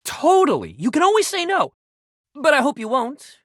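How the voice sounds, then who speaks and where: An eager, sports-mad gym buddy from a video game. The pitch leaps around in a very animated way. He talks in casual bro slang like an encouraging coach who badly wants to please, recorded dry and close. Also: moderate pace, no room sound, full character voice. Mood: encouraging, upbeat.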